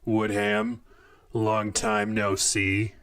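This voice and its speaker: A man's voice: fairly low pitch, monotone, drawn-out words, a bit bright, clear, resonant tone, no room sound, studio quality, recorded from a distance. A man voicing a stubborn, touchy robot coffee maker in an audio drama, sardonic and combative. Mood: sardonic threat.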